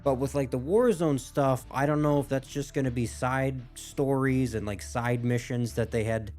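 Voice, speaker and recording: A man's voice: medium pitch, fairly even intonation, moderate pace, a little mellow. A man with a mid-range voice hosts a reaction video. He comments casually and tentatively, reasoning aloud as he goes. Faint game music sits behind him. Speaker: gaming reaction video host.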